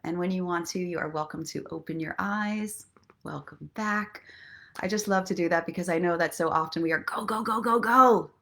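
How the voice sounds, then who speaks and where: A woman hosting a casual live online show, speaking in an expressive, earnest and encouraging way, with a playful streak. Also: lowish voice, normal speed, vocal fry. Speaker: host of a live online story and inspiration show. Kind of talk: casual live chat mixed with guided relaxation and motivational talk.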